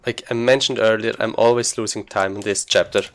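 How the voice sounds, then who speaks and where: Guy with a lowish voice talking through his speedrun, kinda halting, just thinking out loud. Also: steady tone. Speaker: speedrunner commentating own gameplay. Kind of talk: casual live gameplay commentary.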